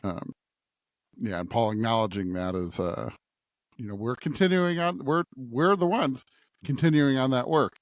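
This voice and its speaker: A man co-hosting a religious podcast, talking reflectively and haltingly as he searches for his words. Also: mid-range voice, no echo, clean studio sound. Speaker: podcast co-host. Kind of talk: conversational religious discussion.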